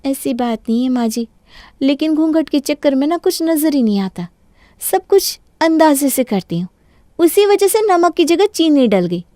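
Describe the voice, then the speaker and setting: A woman plays a young cartoon daughter-in-law with a high, sugary voice. She sounds innocent and pleading with a sly edge. The recording is dry and close. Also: animated, moderate pace, warm tone, dry recording. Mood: mock innocent. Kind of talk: Hindi cartoon story voice acting.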